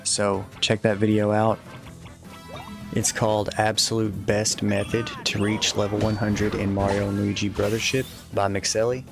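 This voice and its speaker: A man with a lowish voice and plenty of vocal fry talks through a video game guide. His delivery is casual, matter-of-fact and fairly flat in pitch, offering practical advice as he goes. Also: normal speed, music in the background. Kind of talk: casual walkthrough commentary with tips.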